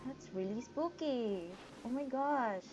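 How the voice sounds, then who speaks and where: Slow-paced woman gamer, noisy recording. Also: sits high, lively intonation. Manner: informal, reactive, thinking aloud. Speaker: gaming streamer. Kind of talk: casual gameplay commentary.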